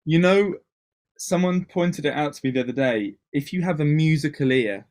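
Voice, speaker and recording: A man from Wales, a DJ and producer, chatting as a guest in an interview, talking in quick bursts with many restarts. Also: fairly high pitch, a little mellow. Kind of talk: casual interview chat.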